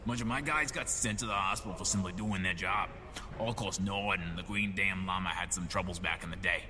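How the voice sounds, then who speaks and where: An actor playing a gruff, disgruntled warehouse worker in a radio drama, blunt and streetwise in his grumbling. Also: low-pitched, a little fast, music in the background, close to an everyday voice.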